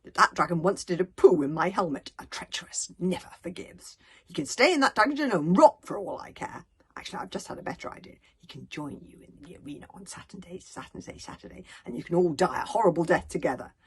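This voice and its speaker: A woman's voice: on the low side, lively intonation, fast talker, slightly rough, exaggerated voice. A woman voicing a treacherous male storybook villain with a sneering, commanding, hot-tempered manner. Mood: exasperated.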